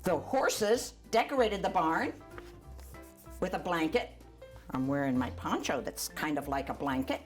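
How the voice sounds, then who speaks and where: An older woman reads a children's storybook aloud in an animated, chatty way, adding asides for young listeners. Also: low-pitched, unhurried, soft background music. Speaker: volunteer storyteller.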